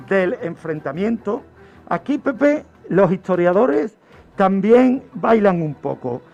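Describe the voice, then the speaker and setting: A high-voiced man narrates like a radio criminologist, emphatic and didactic. His voice has a heavy creak. The audio is dull and lo-fi, with music playing underneath. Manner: emphatic, didactic, repeats phrases for effect. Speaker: radio criminologist presenting a true-crime segment. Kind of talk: storytelling explanation in a radio talk. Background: background music.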